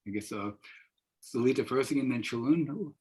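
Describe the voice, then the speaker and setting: Husky older man moderating a panel. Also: mid-range voice, normal speed, darkish tone. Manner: encouraging, rambling with repeated words. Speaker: moderator, professor. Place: wood-paneled room indoors.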